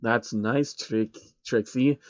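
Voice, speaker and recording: A man's voice: medium pitch, steady tone, slow, no echo, muffled recording, lightly acted. A man voicing a grumpy, arrogant old billionaire villain with a snarling, pompous manner in a storybook reading. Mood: dismissive. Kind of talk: storybook character voice in amateur fan fiction reading.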